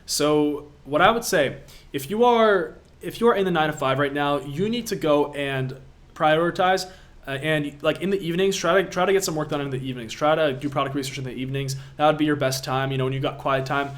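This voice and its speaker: A man's voice: fairly high pitch, fairly quick. A young man from Latvia, an online business coach answering viewer questions on a live stream, emphatic and pushy, repeating himself for effect.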